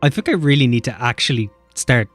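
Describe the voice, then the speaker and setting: A man's voice: medium pitch, no room sound, faint music behind. A man streaming a game, chatting casually and swearing as he bickers with chat. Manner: animated, swearing, quick banter with chat.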